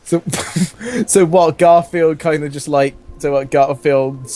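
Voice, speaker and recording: Higher-voiced man reading comedy aloud. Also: slow pace, dark tone. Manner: jokey, often breaks off from reading to riff. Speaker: host reading fan fiction aloud while gaming. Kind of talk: casual comic read-aloud with commentary.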